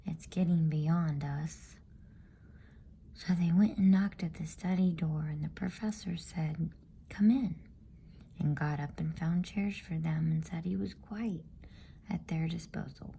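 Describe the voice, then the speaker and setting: Woman, breathy ASMR whisper, low, gravelly edges, bedtime story narration, soothing. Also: steady tone. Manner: slow, soothing, hushed.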